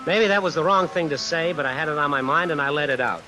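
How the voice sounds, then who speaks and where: An actor as a hard-boiled drifter, music behind. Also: male voice, a little high, quick pace, close to an everyday voice, low-quality recording. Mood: wry, resolute. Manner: hard-boiled, wry, slangy. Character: tough, vengeful drifter man narrating his own crime story. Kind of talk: old-time radio suspense acting and narration. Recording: vintage radio recording under music, rain. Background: music and rain.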